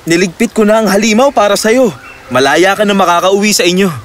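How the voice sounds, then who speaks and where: A man voicing a gallant, tender young cat-boy hero in an animated children's story, earnest and reassuring. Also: high-pitched, a little fast, a little hiss or hum, natural voice.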